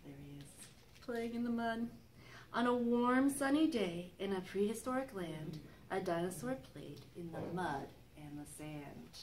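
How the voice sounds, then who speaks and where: A middle-aged woman, a children's librarian, reading a picture book aloud to young children in an expressive, sing-song way. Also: mid-range voice, measured pace, low-quality recording. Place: library reading area with magazine racks, indoors. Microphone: camera microphone a few feet away. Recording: faint background room noise.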